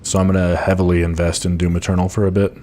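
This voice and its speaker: A man streaming a game speedrun, chatting casually in a low, groggy voice that sounds annoyed even when he is not. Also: fast talker, a little hiss or hum. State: groggy, just woke up. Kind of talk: casual live-stream commentary.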